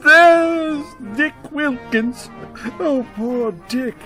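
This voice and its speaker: A man playing a frightened, remorseful elderly miser in a radio drama, stammering and halting with theatrical emotion. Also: very high voice, sharp tone, faint music behind, exaggerated voice. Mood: delighted, nostalgic.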